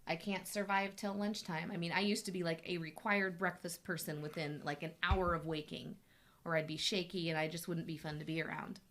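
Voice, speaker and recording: A chatty woman hosting a live Q&A as a health coach, rambling along with restarts. Her voice is bright and forceful and sits a little low. It is still recovering from a recent illness. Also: steady tone, a little fast. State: voice still recovering from being sick. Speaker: health coach and show host. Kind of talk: casual live Q&A chat.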